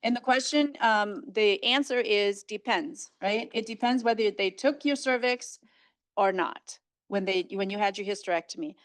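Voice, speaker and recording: A woman doctor fielding questions from an audience, with a smooth, clear voice and a dry, close sound. She explains things plainly and casually, often checking in with a quick "right?". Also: mid-range voice, dry recording. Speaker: physician and speaker. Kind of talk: Q&A answers at a health event.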